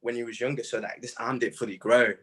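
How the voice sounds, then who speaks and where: A young man chatting casually in an interview, telling stories in an animated, slangy way with plenty of "bro" and "man". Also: medium pitch, quick pace, husky. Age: young adult. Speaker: music artist being interviewed. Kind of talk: casual chat.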